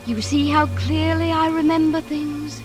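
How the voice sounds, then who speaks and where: An anxious mother in a golden-age radio thriller. Her voice is fairly high, and she speaks precisely and earnestly, with an insistent, pleading edge. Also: little pitch movement, measured pace, over music. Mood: haunted recollection. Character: anxious, insistent mother and sister, recalling horror. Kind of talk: 1940s radio drama acting and narration.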